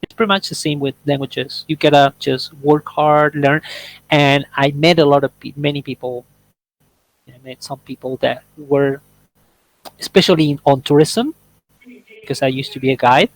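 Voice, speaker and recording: A man's voice: fairly high pitch, fairly even intonation, moderate pace, no room sound, low-quality recording. A young man whose first language is Spanish, leading a casual English conversation workshop and eagerly explaining his points with everyday examples. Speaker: workshop leader.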